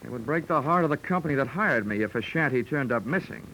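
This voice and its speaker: An actor playing a wry, wisecracking American insurance investigator who narrates a 1940s hardboiled radio drama. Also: male voice, mid-range voice, animated, quick pace, a bit bright, slight background noise, low-quality recording. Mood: smooth, matter-of-fact. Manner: dry wit, wisecracking. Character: wry, tough male insurance investigator hero. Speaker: lead and narrator of detective show. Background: music.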